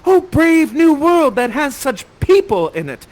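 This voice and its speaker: A man's voice: very high-pitched, wildly swooping pitch, unhurried, fairly bright tone, voice pushed up, full character voice, rough recording. A man voicing an innocent, curious young maiden in a verse play, with a declamatory, wonderstruck delivery. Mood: awestruck.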